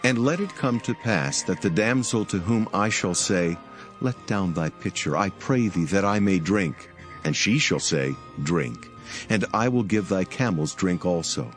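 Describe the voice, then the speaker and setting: A man's voice: sits low, lively intonation, unhurried. A man playing a humble, devout household servant in a dramatized scripture reading, earnest and formal. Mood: prayerful. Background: music.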